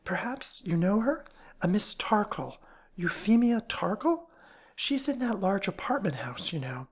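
Woman playing a gushing, gossipy shopgirl, husky voice. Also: mid-range voice, sing-song, a little slow, voice pushed up, full character voice. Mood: eager. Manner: chatty, gushing, confiding. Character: shy, eager, gossipy young female pet-shop clerk. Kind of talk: dramatized storybook reading.

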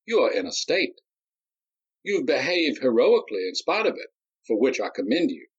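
A man voicing a courtly, mocking gentleman duelist who speaks with silky politeness in a storybook reading. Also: mid-range voice, sing-song, normal speed, slightly rough, lo-fi sound, voice pushed up. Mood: mockingly polite.

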